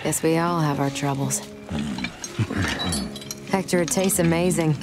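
A woman's voice: deep voice, steady tone, a little slow, over loud music. An actress playing a feisty, determined young frontier woman with a defiant, playfully confident manner in a video game. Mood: wry. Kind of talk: video game cutscene acting.

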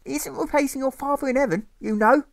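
A man voicing a fussy, anxious widowed mother in a high, pleading falsetto. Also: fast talker, creaky, no echo.

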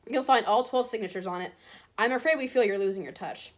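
A woman voicing a haughty aristocratic male villain in a storybook, smooth, condescending and falsely polite. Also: medium pitch, quick pace, lightly acted. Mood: smug. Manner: oily politeness, condescending drawl.

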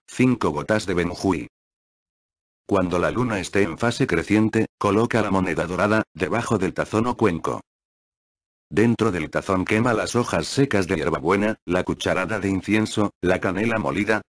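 A man calmly reading step-by-step ritual instructions as a scripted voice-over. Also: deep voice, no echo. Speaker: narrator of a spell tutorial.

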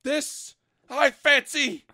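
A man voicing a manic, drug-craving detective in a comedic story reading, theatrical and bombastic, swinging into shouts. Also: very high voice, steady tone, very slow, no echo, clean studio sound, heavily acted. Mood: pompous.

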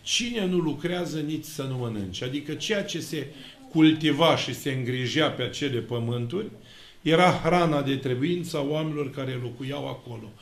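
Middle-aged priest preaching in an echoey church. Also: male voice, mid-range voice. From Romania. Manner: emphatic preaching with slow, stressed words and lively hand gestures. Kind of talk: sermon. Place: church with icons, indoors. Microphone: fixed camera, mid shot.

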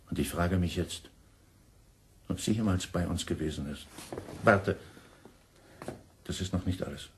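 A man acting in a German radio crime drama as an insistent friend confessing grim secrets, deliberate, dramatic and pitying. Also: low-pitched. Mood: insistent, accusing.

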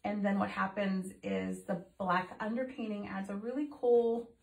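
A woman's voice: medium pitch, little pitch movement, measured pace, creaky, a little mellow. A middle-aged woman explaining a painting project as she works, chatty and full of ums, her voice a little off from recent illness. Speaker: art teacher demonstrating painting. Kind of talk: casual painting tutorial. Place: home art studio room. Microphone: phone camera overhead, speaker off to the side. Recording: echoey room, voice off-mic.

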